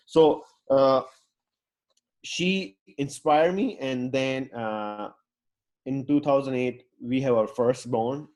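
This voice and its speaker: A man's voice: medium pitch, darkish tone, poor audio. A man who learned English as a later language, speaking as a UX designer to an online audience in a rambling, outgoing way full of fillers and restarts. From Pakistan. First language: not English; English is his fourth language. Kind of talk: casual talk to an online audience.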